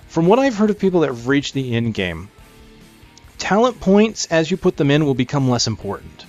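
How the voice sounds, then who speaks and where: A man's voice: fairly high pitch, big pitch swings, moderate pace, muffled recording. A man giving casual gameplay commentary, explaining things conversationally and thinking aloud as he plays. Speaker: gamer presenting a game preview. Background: music behind the voice.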